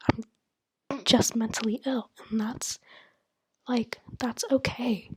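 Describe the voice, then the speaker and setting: A woman's voice: on the high side, fairly even intonation, takes it slowly, a little mellow. A young woman whispering a candid, rambling monologue to the camera, full of "like" and "you know". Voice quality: breathy whisper. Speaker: vlogger.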